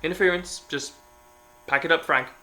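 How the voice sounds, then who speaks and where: A man's voice: on the high side, moderate pace, rough recording. A man playing a brusque, no-nonsense studio supervisor in an audio drama, clipped, commanding and impatient. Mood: impatient, firm. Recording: loud mains hum, hiss and clicks.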